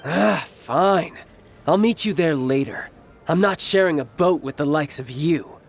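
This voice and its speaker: An actor playing a cocky young villain in a video game, petulant, sarcastic and easily affronted. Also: male voice, a little high, unhurried, gravelly, faint music behind. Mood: indignant, sulky.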